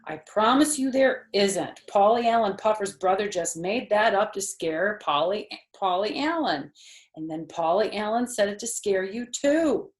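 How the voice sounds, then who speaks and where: A woman's voice: medium pitch, lively intonation, a little slow, lightly acted. A woman voicing a patient, puzzled father in a storybook, reassuring and explaining a little hesitantly. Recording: roomy, echoey home recording.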